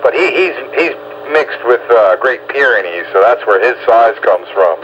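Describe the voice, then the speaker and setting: Male, mature, conversational and halting, muffled radio-like sound with slight noise. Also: mid-range voice, animated. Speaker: husky owner and rescue volunteer. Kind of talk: casual chat.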